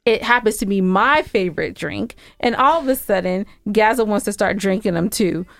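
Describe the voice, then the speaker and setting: A woman's voice: medium pitch, moderate pace, vocal fry, fairly bright tone, dry recording. A woman co-hosting a podcast in casual, playfully argumentative banter, speaking with emphasis. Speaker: podcast co-host. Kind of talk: casual bantering chat with husband.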